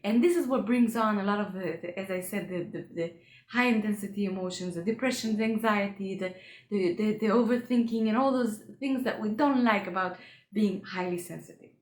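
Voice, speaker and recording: Halting woman with English. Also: mid-range voice, a little mellow. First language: Hebrew. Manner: halting, frequent restarts, earnest. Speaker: coach for highly sensitive people. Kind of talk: solo talk to camera. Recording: roomy echo.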